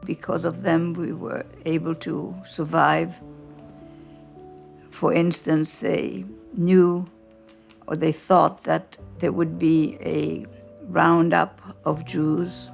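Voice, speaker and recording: A woman's voice: deep voice, little pitch movement, creaky. An older woman from Austria recounting her memories in a reflective documentary interview, with faint music behind her. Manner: slow, reflective storytelling.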